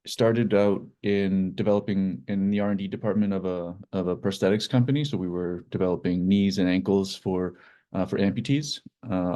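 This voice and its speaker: Male guest founder. Deep, mellow voice, informal explainer who gropes for words and repeats himself. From Iceland. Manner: hesitant, halting delivery with repeated words. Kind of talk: informal explanatory talk about own work.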